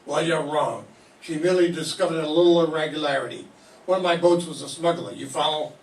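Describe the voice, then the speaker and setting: An older man playing a smooth, smug middle-aged male cannery boss in a 1940s-style radio drama, suave and condescending. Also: fairly high pitch, fairly quick, close to an everyday voice. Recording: roomy classroom from a distant mic, faint hiss.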